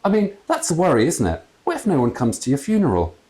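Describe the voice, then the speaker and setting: A man voicing a ghostly father in a storybook, rambling, fussy and excitable. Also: a little high, fast talker, pitched above the performer's own voice. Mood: chatty, puzzled. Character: chatty, grumbling ghost father with theatrical tastes.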